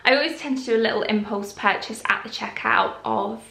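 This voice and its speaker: Bright-voiced chatty woman in an echoey room. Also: a little high, a little fast, gravelly. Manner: chatty and rambling, calm and relaxed. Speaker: vlogger. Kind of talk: casual haul chat.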